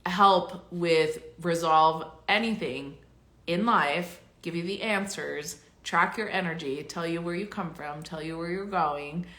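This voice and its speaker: A woman hosting a casual live stream as a spiritual coach. She talks in an effusive, rambling way with many fillers, and she sounds slightly raspy and congested. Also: fairly low pitch, a little slow, a bit bright, roomy sound. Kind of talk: casual livestream monologue.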